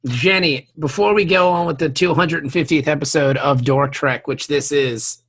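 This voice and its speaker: A man's voice: on the high side, normal speed, fairly bright tone, breathy edge. Effusive, teasing male podcast host. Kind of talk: casual podcast banter.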